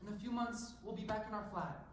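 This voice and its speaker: A man's voice: high voice, steady tone, fast, lightly acted. Guy playing hopeful firm dad in a stage drama, far from mic, super echoey. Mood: firm, reassuring. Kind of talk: stage play acting. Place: stage in a large hall. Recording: echoey hall from far off, faint noise.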